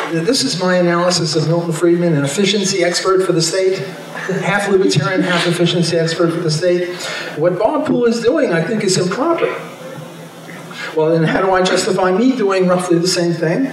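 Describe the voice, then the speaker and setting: A man's voice: high voice, far from the mic. Wry older man in an echoey ballroom. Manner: chatty and wry, self-amused asides. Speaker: economist speaking at a convention. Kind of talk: informal convention talk. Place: large hotel ballroom stage. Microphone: handheld mic.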